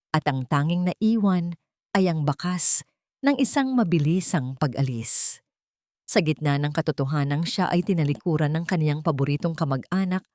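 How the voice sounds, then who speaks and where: Female narrator for dramatic fiction: low register, solemn measured delivery, very dry close sound. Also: darkish tone, no echo. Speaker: story narrator. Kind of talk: dramatic scripted story narration.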